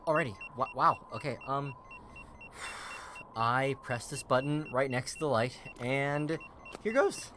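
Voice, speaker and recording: A man's voice: mid-range voice, slow pace, close to an everyday voice. A man playing an earnest, unsure young army photographer in an audio drama, with a hesitant, questioning manner. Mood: uneasy muttering. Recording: clear background ambience and noise.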